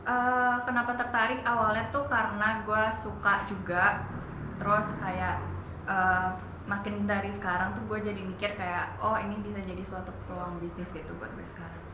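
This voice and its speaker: A woman's voice: medium pitch, flat delivery, normal speed. Chatty young woman in echoey room. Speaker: interviewee, student. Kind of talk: casual interview answers. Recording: echoey room, noisy background.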